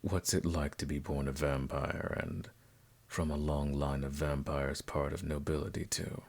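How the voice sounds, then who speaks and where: A man playing a suave, courteous vampire nobleman in a scripted ASMR roleplay, speaking formally and softly in a gravelly voice. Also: flat delivery, unhurried, exaggerated voice. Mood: confessional, subdued. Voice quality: very deep, gravelly. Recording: music playing behind the voice.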